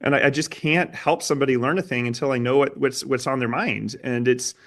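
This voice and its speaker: A male interviewer with a mid-pitched voice in a conversational exchange. He sounds earnest and feels his way through sentences, doubling back on words as he thinks out loud. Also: fairly even intonation. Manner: earnest, halting, repeats words as he thinks aloud. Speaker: interviewer, host.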